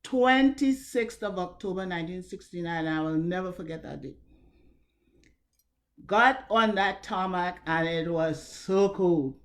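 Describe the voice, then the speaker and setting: Slow-spoken older Trinidadian woman. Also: lowish voice, animated. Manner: measured, reflective, wry asides. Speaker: storyteller. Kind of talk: personal storytelling at a virtual story slam. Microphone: video call.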